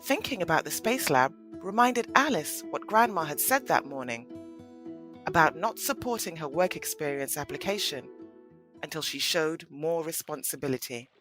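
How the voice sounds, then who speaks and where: A woman narrates an audio play in a slightly low voice. Her storytelling is expressive, with lots of melodic movement in the pitch. Soft music plays faintly underneath. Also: moderate pace.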